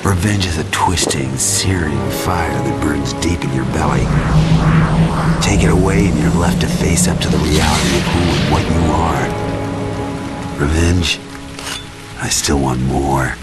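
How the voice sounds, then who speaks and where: Deep gravelly game antihero, brooding and sardonic, terse, loud music behind. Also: male voice, a little slow. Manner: terse, sardonic tough-guy talk. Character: gruff, brooding, battle-worn male mutant antihero. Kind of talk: video game cutscene acting.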